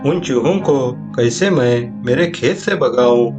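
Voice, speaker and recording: A man's voice: mid-range voice, expressive, measured pace, music in the background, natural voice. A man voicing a poor, troubled farmer in a cartoon story, lamenting plaintively. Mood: despairing. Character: poor distressed male farmer troubled by rats.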